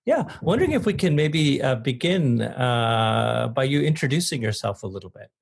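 A man hosting a podcast interview, asking his questions in a halting, hesitant way. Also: medium pitch, very animated, creaky, breathy edge. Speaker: podcast host.

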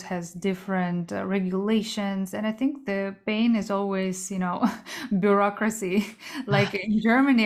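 Halting woman guest from Kazakhstan. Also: mid-range voice. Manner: halting, searching for words, frequent light laughter. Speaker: guest, entrepreneur. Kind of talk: casual interview answers.

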